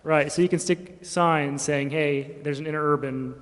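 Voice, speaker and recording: A man's voice: fairly high pitch, fairly even intonation, normal speed. A man speaking as a city staff member, explaining things informally at a public meeting in a rambling, casual way that often trails off. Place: reverberant council chamber. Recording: hall reverb, faint background noise.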